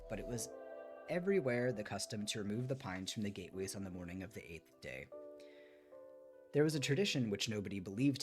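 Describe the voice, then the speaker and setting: A man on a livestream, moving between reading a book aloud and chatting casually with listeners. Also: on the low side. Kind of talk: read-aloud storytelling mixed with casual chat on a livestream.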